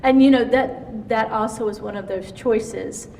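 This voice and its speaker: A woman's voice: fairly high pitch, unhurried, vocal fry, darkish tone, slight background noise. Rambling woman host, distant and echoey. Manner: rambling, restarts her questions. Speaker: show host. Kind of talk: interview host.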